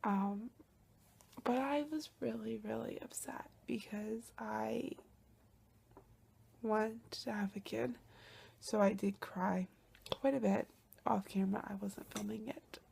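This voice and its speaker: Hushed, gravelly young woman vlogging. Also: mid-range voice, fairly even intonation, takes it slowly, long pauses. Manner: soft, often hushed and breathy, with sighs. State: congested with a cold. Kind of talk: personal video diary.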